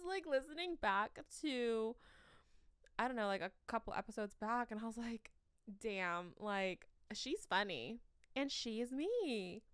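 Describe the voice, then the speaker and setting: A young woman hosting a casual solo podcast from the corner of her bedroom, with a shrill voice, rambling and self-mocking between fillers, sleepy. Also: clean tone, no room sound, clean studio sound. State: sleep-deprived. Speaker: podcast host.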